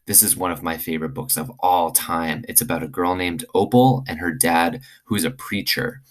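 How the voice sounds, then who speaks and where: Low-voiced man reading a story aloud. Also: normal speed. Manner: expressive, acts out dialogue. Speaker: teacher reading aloud to students. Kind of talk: read-aloud storytelling for children.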